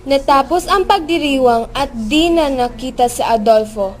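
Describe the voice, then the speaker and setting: A woman's voice: sits high, animated, normal speed, a little mellow, slight background noise. A woman with a Filipino accent reading scripted narration for a radio drama.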